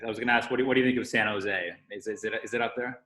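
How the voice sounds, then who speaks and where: A man co-hosting a casual interview podcast, asking questions in a halting way with repeated words. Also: lowish voice, steady tone, sharp tone. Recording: roomy echo, faint music.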